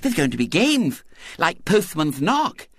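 A man's voice: fairly high pitch, big pitch swings, a little slow, husky, dry recording, voice pushed up, heavily acted. A man voicing a self-important six-year-old girl who is insistent and plaintive, in a storybook reading. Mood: serene, smug. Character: bossy, triumphant six-year-old girl, persistent and wheedling.

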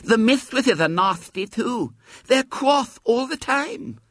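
A man voicing a small, shrill, spoilt schoolgirl who is lisping, stubborn and demanding, in a children's storybook reading. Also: animated, slow, a bit bright, dry recording, studio quality, heavily acted. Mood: complaining.

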